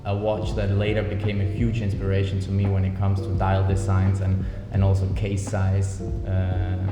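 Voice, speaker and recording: A man talks about his path as an interview guest, thoughtful and unhurried, pausing on ums. His voice sits low and stays fairly level. He is heard in a reverberant office with music playing beneath. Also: completely flat delivery. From Denmark. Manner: measured, thoughtful, many ums. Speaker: watch brand founder, guest. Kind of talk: relaxed interview conversation. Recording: echoey office with music bed.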